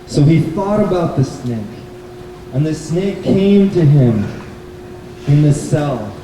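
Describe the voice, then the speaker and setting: Male puppet-show presenter, unhurried and deliberate, slightly high voice, telling stories live for children. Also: lively intonation. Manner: simple, deliberate phrasing. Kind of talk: live children's storytelling to an audience. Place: indoor bookstore event. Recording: very echoey, noisy hall, distant microphone.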